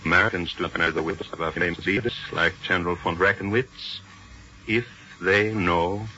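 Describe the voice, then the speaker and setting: A smooth, scheming painter. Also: male voice, deep voice, steady tone, a little fast, pushed and bright. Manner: formal, courtly, oily. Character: scheming exiled portrait painter, a smooth blackmailer. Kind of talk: 1940s radio acting. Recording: muffled old radio broadcast with faint hiss.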